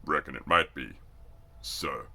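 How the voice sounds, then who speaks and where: A man voicing a big, cocky army recruit in a novel reading, with a slow, unhurried, folksy swagger. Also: extremely low pitch, creaky, pushed and bright, voice pushed down, heavily acted. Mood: stoic.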